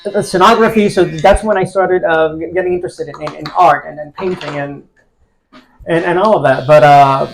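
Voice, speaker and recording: A man in his forties from Puerto Rico talks casually as a podcast guest. His voice sits high for a man, and he hesitates often, filling gaps with "uh" and starting his sentences over. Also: normal speed, vocal fry, slight background noise, poor audio. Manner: hesitant, many fillers and restarts. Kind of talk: casual conversation.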